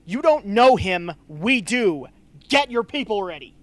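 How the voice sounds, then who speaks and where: A man playing a gruff, sarcastic, impatient mentor in an amateur radio drama. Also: high-pitched, very animated, unhurried, slight background noise. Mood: scornful.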